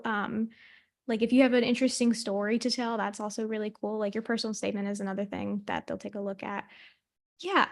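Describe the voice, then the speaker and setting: A chatty young woman in her twenties, a little high-pitched, speaking on a video call. The sound is close and dry. She answers a panel question loosely, full of fillers. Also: no room sound. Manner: chatty, lots of fillers and laughs. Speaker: medical student panelist.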